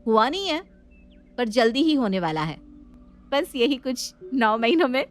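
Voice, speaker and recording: A woman's voice: a little high, very animated, a little slow. A woman voicing a motherly, protective young sister-in-law in a family story. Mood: excited, teasing. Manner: fussing, protective, soothing.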